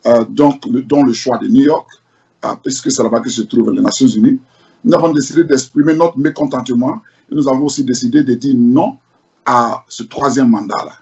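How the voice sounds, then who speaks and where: A man from Côte d'Ivoire answers questions as a protest organizer in a measured way, with fillers between his phrases. His voice sits at a middle pitch. The audio is dull and lo-fi. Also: a little mellow. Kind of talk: interview answer.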